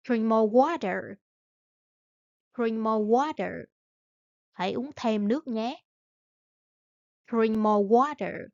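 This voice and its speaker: Slow, deliberate woman drilling phrases. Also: a little high, vocal fry, a little mellow, no echo, muffled recording. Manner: says each phrase several times, slow and evenly paced, with short translations in between. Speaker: English teacher.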